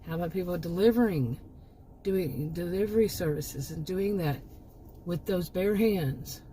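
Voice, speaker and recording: A middle-aged woman talks straight to her viewers in a rambling, emphatic way, doubling back on her words. Her voice is mellow and warm, with a hoarse edge, since she is losing it. A faint hiss of noise sits under the recording. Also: lowish voice, measured pace, rough recording. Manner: rambling, emphatic, with frequent restarts and asides. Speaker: commentary channel host. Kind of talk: casual opinion monologue.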